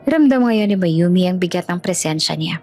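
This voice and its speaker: A woman's voice: fairly low pitch, lively intonation, normal speed, dark tone. A woman with a Filipino accent giving a dramatic scripted narration as a storyteller. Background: music.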